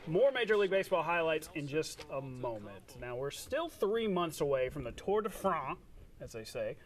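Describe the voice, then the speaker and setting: Higher-voiced man anchoring sports highlights. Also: sing-song, normal speed, distant mic. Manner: jokey, informal delivery with playful banter. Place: TV studio.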